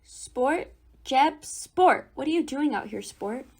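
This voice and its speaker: A woman playing an exasperated, brisk mother in a radio drama, with a bossy, folksy, scolding manner. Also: sits high, lively intonation, full character voice.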